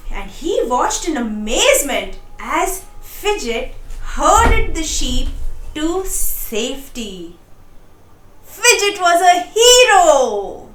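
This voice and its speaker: A woman narrating a children's storybook in a lively, expressive read-aloud style, addressing young listeners directly. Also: sits high, echoey room, a little hiss or hum, poor audio.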